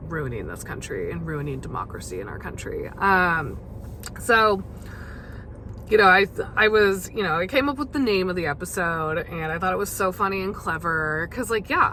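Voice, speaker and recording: A young woman hosting a casual solo podcast, chatty and full of fillers, her voice gravelly as she loses it. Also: fairly low pitch, pushed and bright, slight background noise. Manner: chatty, many fillers and restarts. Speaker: podcast host.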